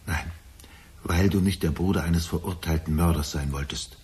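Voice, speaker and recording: A man acts out an ageing, bitter father in a radio play. His voice sits low, and his manner is brooding and accusing, with a volatile, desperate edge. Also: moderate pace, darkish tone, natural voice. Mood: grave, accusing. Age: older. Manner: brooding, accusing, prone to agitated outbursts. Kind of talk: German radio drama acting.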